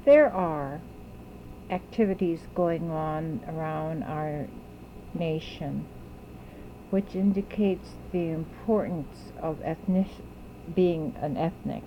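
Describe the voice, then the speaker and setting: A woman interviewer asking deliberate, formally worded questions in an oral history interview. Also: low-pitched, muffled recording, slight background noise.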